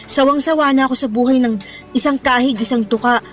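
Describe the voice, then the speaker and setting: A woman's voice: sits high, a little fast, lightly acted. A woman playing an ambitious, stubborn young worker in a radio romance drama, talking in an emphatic, exasperated, conversational way. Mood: weary, frustrated. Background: background music.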